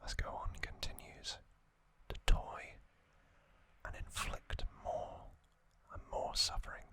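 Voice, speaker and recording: Whispering man, slow ASMR narration. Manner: slow, deliberate delivery in short hushed phrases. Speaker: ASMR host.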